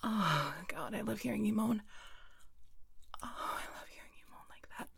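A woman performing intimate adult audio roleplay in a breathy, whispery voice, with a teasing, dominant manner. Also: mid-range voice, animated, fairly bright tone, no room sound. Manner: slow, teasing, dominant murmuring.

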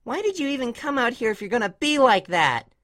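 A woman's voice: a little high, very animated, brisk, a bit bright. A woman voicing an earnest, vulnerable child cartoon pony with a plaintive, childlike delivery in a dramatic story reading. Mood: indignant. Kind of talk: dramatic fan-fiction character acting.

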